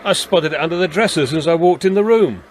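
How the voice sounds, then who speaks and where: An older man playing a firm but kindly beat policeman in a radio drama, plain-spoken and gently authoritative. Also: fairly high pitch, fast talker, slight background noise, lightly acted. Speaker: police constable and narrator. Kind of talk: naturalistic radio drama acting and storytelling.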